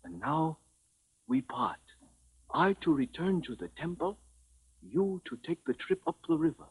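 An actor playing a cautious old Burmese temple priest, speaking gravely and formally in a 1950s radio drama. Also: male voice, on the high side, sing-song, full character voice, poor audio. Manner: grave, formal, measured, cryptic. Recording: vintage 1950s radio broadcast.

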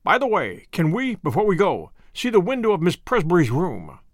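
A man reading the part of a calm, courteous gentleman detective in an audiobook story, measured and polite with dry understatement. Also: sits high, no echo, exaggerated voice. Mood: wry, thoughtful.

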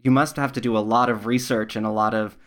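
A man's voice: medium pitch, steady tone, fast talker, a bit bright. A man hosting a conversational podcast interview, speaking in an interested, affirming manner as he leads into questions.

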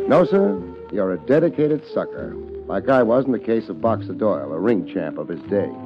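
An American actor playing a wry, world-weary private detective in a 1950s hardboiled radio drama, with deadpan wisecracks. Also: male voice, on the low side. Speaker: lead radio actor and narrator. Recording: dull 1950s radio recording, music behind.

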